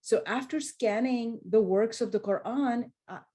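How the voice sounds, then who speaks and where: Mellow-voiced woman scholar on a panel. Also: fairly high pitch, measured pace. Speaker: scholar of Islamic studies, panelist. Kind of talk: explanatory academic panel talk.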